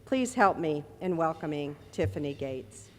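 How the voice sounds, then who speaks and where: A woman's voice: sits low, lively intonation, moderate pace, heavy background noise. Woman host, reads intro steadily from notes, echoey hall. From Chicago area. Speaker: event host. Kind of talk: reading an introduction. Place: banquet hall.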